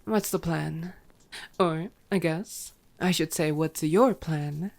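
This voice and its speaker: A woman's voice: sits low, takes it slowly, vocal fry, a little mellow, full character voice. A woman playing a sarcastic, teasing female vampire in an ASMR roleplay audio drama, playful and exasperated. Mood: wary, dry.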